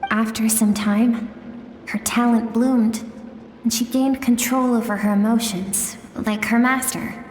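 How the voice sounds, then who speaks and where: A woman's voice: fairly high pitch, fairly even intonation, measured pace, darkish tone, a little hiss or hum, natural voice. A woman as a wistful, echoing mysterious guide. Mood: reflective. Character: mysterious female guide recounting memories, gentle, wistful. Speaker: narrator and guide. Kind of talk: dramatic storytelling in a video game. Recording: echoing hall reverb with music.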